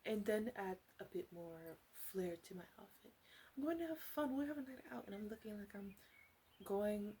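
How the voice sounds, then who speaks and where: A woman playing a flirty, blunt witch in an ASMR roleplay, speaking softly and hesitantly and often dropping into whispers. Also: mid-range voice, animated, moderate pace, a little hiss or hum, close to an everyday voice. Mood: teasing, candid.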